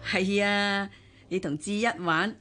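An actress playing an attentive, respectful household helper in a radio drama, with a Hong Kong Cantonese accent. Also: female voice, medium pitch, steady tone, slow pace, a bit bright, soft background music.

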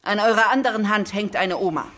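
An actress playing a brisk, strict female teacher at a superhero school in a children's radio play, instructing and commanding. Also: mid-range voice, fairly bright tone, lightly acted. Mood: matter-of-fact, instructing.

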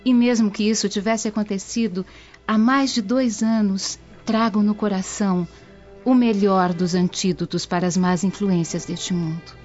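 A woman acts a serene young Roman believer with a Brazilian accent. She speaks formally and earnestly in a warm, mellow tone. It is a slightly dull radio drama recording with music behind. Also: mid-range voice, steady tone, moderate pace, lightly acted. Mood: devout, firm.

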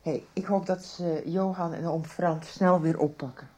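A woman playing a worried, brisk middle-aged mother in a radio soap, probing with questions. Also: lowish voice, fairly even intonation, mellow, natural voice. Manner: agitated, questioning. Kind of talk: 1990s radio soap acting.

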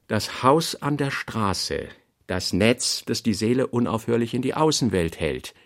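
An actor playing an analytical author reading out notes in a literary radio play, in emphatic, clipped phrases. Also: male voice, mid-range voice, lively intonation, a little slow.

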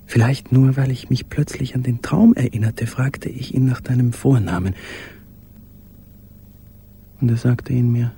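Ein Schauspieler spielt in einem Hörspiel einen gequälten, sich erinnernden Mann im Versteck, gedämpft, stockend und intim gesprochen. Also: male voice, mid-range voice, unhurried, long pauses, dark tone, close to an everyday voice. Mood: haunted, yearning. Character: haunted man in wartime hiding, remembering a beloved. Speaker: actor in a radio play. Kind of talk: literary radio play monologue. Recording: faint background noise.

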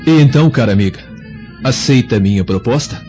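An actor playing a sly, scheming Roman suitor in a radio drama, talking in an insinuating, theatrical way. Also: male voice, mid-range voice, animated, brisk, dark tone, lightly acted. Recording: muffled lo-fi audio, faint music behind.

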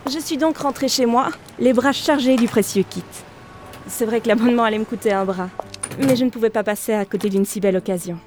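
A woman playing a candid, informal radio show writer in an audio drama, with expressive delivery. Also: a little high, brisk, close to an everyday voice. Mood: musing, curious. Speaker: radio studio staffer, narrator. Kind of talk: audio drama dialogue and first-person narration. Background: music.

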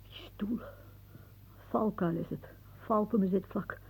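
An actress playing a sardonic, rambling old aunt in a radio play, given to suggestive musings and muttering. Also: female voice, medium pitch, slow, gravelly, husky, exaggerated voice. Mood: grumbling. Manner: muttering musings, then calling out loudly. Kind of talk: theatrical radio play acting. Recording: narrow, tinny band like old radio.

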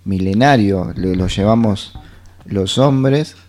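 Male actor for radio theatre: self-important Rioplatense man, lowish voice, unhurried, halting boasts. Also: darkish tone. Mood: boastful, halting. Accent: Rioplatense Argentine. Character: proud, faintly absurd man boasting of manly skills.

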